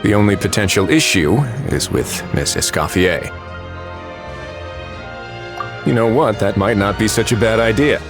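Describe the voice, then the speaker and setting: An actor playing an easygoing, wry police officer in a video game, talking in a relaxed and reassuring way. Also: male voice, fairly low pitch, normal speed. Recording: music playing behind the voice.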